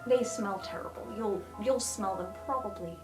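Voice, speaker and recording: A woman voicing a cryptic swamp-wandering guard in a fantasy game, with halting delivery and old-fashioned wording. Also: mid-range voice, lightly acted. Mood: grave, matter-of-fact. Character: cryptic, formal swamp-wandering guard giving grave warnings. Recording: clear music under the voice.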